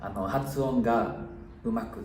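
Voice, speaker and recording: A relaxed young man gives a language lesson with an easygoing, unhurried manner. He sounds a little far from the mic, in a room with noticeable echo and a faint hum of ambience behind him. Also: medium pitch. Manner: easygoing, laughs at own slips, switches languages. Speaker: language teacher. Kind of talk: bilingual video lesson. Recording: echoey room, mic at a distance.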